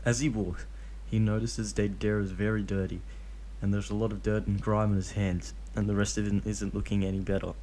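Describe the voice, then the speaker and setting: Young man, lowish voice, casual fanfic reading with slips. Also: level tone, a little fast, few pauses, warm tone, a little hiss or hum, rough recording. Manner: casual reading, stumbles over names. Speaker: fanfic reader.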